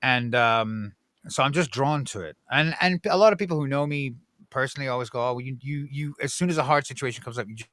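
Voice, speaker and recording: A man who grew up in Egypt, a podcast guest talking casually in a blunt, profane, animated way. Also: mid-range voice, fast talker, dry recording.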